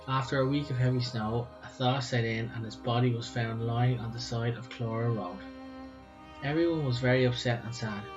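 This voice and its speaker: A man's voice: medium pitch, lo-fi sound. A man with an Irish accent, co-hosting a podcast and reading a story aloud. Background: music.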